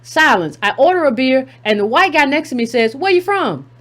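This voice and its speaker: A woman's voice: sits high, big pitch swings, normal speed, a bit bright. A woman hosting a commentary channel, reading a text aloud and breaking in with emphatic asides, repeating key phrases for effect.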